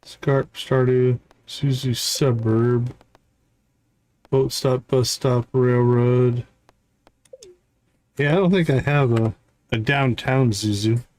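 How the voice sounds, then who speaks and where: A man's voice: mid-range voice, steady tone, slow pace, pauses often, a little mellow. A man doing casual live gameplay commentary, thinking aloud to himself and his viewers as a streamer. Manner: musing, talks to viewers and self. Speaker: video game livestreamer.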